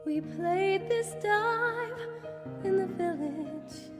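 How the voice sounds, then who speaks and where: A woman's voice: very high voice, drawn-out words, over music. A woman performing songs in a musical theatre style. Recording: long reverb, loud musical backing.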